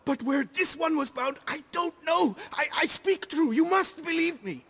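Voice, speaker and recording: High, creaky, terrified captive henchman. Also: animated, normal speed, a bit bright, full character voice. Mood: terrified, pleading. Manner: stammering, desperate. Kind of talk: dramatic video game acting.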